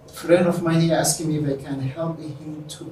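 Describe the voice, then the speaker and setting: A middle-aged man from Somalia with a Somali accent, telling his own story haltingly in an interview. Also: a little high, level tone, darkish tone. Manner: halting, pieces sentences together. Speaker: interview guest, nurse and non-profit founder. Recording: roomy, distant mic, faint noise.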